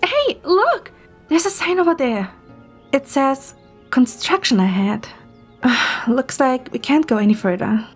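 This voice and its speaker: A woman acts a curious young woman in an audio drama, chatty and teasing. Her voice sits fairly high and is animated and expressive, with music playing underneath. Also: a little slow, slightly rough, exaggerated voice. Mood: bright, curious. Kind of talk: amateur audio drama acting.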